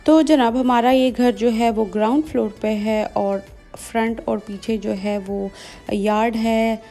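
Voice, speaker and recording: Young woman doing a casual vlog voiceover, soft mellow tone, music faintly behind. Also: on the high side, fairly even intonation, a little slow. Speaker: vlogger.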